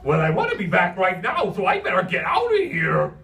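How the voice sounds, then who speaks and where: A man voicing a loud, goofy, hyperactive clownish imaginary friend with a silly, squeaky, put-on Cockney voice in a comic children's story. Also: huge pitch swings, unhurried, echoey room, recorded from a distance, heavily acted. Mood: manic, eager.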